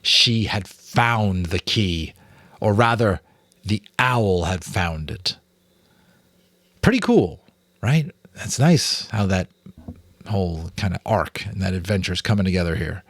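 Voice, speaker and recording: Animated American man reading aloud. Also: sits low, slow pace, dry recording. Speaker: audiobook narrator, amateur voice actor. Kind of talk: dramatic read-aloud narration with casual asides.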